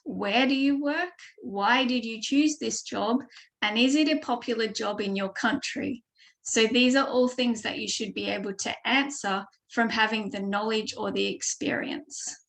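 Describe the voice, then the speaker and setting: A woman's voice: a little high, a little slow, vocal fry, fairly bright tone. An Australian woman giving a webinar lesson as a speaking tutor over a video call mic, explaining steadily with frequent ums. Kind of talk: online webinar presentation.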